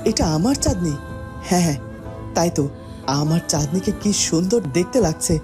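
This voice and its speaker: A man's voice: sits high, animated, a little fast, music in the background. A man voicing a lovesick young cartoon prince who muses dreamily to himself with small stammers. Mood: awed, adoring. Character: lovesick young prince longing for his bride. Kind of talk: cartoon voice acting, romantic monologue.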